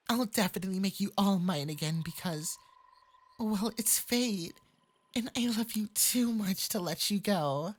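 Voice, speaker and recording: A performer with a light, breathy voice playing a clingy, lovestruck fox-spirit femboy mage in an intimate ASMR roleplay, coaxing sweetly and possessively. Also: medium pitch. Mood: sheepish, devoted. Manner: sweetly coaxing, possessive, swinging into eager excitement.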